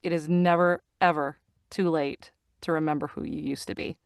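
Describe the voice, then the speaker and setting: A middle-aged woman, a voice actor and narrator, chatting as a guest over a video call, bubbly and full of laughs, pausing on fillers. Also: deep voice, fairly even intonation, vocal fry, clean tone, no echo, studio quality. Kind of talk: casual video-call interview. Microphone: video call from a home recording studio.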